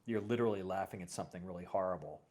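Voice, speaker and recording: A middle-aged man hosting a casual, personal conversation, telling stories in a halting, reflective way. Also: deep voice, a little mellow. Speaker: host.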